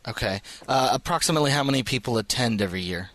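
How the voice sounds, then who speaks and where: A man's voice: medium pitch, animated, quick pace, a bit bright, dry recording. A man speaking as a podcast host, interviewing guests in a conversational way.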